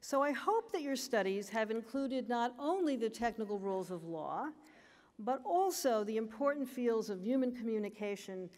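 An older American woman giving a formal graduation speech at a lectern, with a measured, emphatic delivery. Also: fairly high pitch, lively intonation, a little mellow, big-room sound. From United States. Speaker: commencement speaker. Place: large auditorium stage at a lectern. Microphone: lectern microphone.

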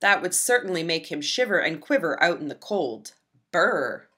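A woman reading a children's storybook aloud with expressive, dramatic emphasis. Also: lowish voice, moderate pace. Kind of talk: expressive scripted read-aloud narration for young children.

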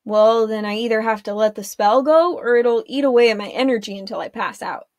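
A wry teenage warlock boy, low-key and matter-of-fact, as a woman reads him. He explains things in a steady, unbothered way. The sound is close and dry. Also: a little fast, dry recording, natural voice.

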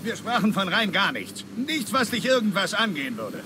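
Defiant pirate crewman, slightly high voice, swinging intonation, curt, guarded, game dialogue. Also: big pitch swings, moderate pace. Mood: defiant, dismissive. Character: defiant, secretive pirate crewman, dismissive of strangers. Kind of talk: video game voice acting. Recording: noisy outdoor game ambience behind voice.

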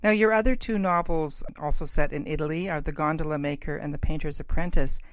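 A woman's voice: deep voice, brisk, no room sound. A woman hosting a conversational podcast interview, appreciative and enthusiastic toward her guest.

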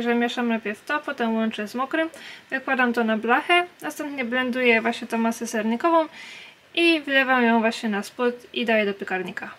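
Girl vlogger w a highish voice, chatty casual talk to her viewers. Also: fairly bright tone, a little hiss or hum. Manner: chatty, informal, addressing viewers. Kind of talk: casual vlog chat.

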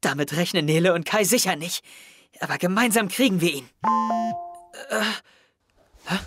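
A man's voice: high voice, animated, normal speed, background noise. A young man playing an anxious teenage schoolboy detective in an audio drama, intense and confiding. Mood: surprised, urgent. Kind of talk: German audio drama acting, close narration.

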